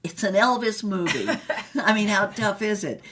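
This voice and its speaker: A woman playing a worldly, brassy older former starlet who tells racy memoirs in a husky voice, wryly and unhurriedly, with dramatic pauses. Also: mid-range voice, expressive, a bit bright, lightly acted, poor audio. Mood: wry, breezy. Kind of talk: comic scripted memoir narration.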